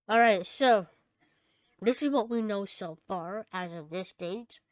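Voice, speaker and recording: A woman co-hosting a casual podcast, chatting and reading out news items, somewhat halting when she reads aloud. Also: medium pitch, dry recording, studio quality. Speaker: podcast co-host.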